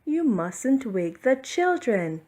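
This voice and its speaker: A woman voicing a gently scolding storybook creature who shushes and gives earnest, hushed warnings. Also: on the high side, very animated, slow pace, pitched above the performer's own voice, heavily acted. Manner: breathy whispered shushing, then earnest warning. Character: hushing storybook animals and snowman, gently scolding Santa.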